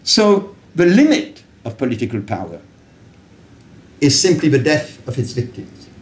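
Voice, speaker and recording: Older Frenchman lecturing, lively swooping pitch. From Paris, France. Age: older, about 70s. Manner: emphatic, deliberate, rhetorical phrasing with pauses. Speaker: public speaker, lecturer. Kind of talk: live political talk to a small audience. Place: indoors, small wallpapered room with an open view to a window. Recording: roomy echo from camera distance.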